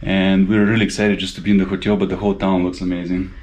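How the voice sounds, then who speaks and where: A man's voice: deep voice, little pitch movement, fast, darkish tone. A man in his thirties vlogging casually, upbeat and self-mocking as he tours a hotel and shops. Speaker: travel vlogger. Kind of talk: casual travel vlog narration. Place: hotel room and shops, indoors and street. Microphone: handheld action camera. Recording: noisy handheld camera audio.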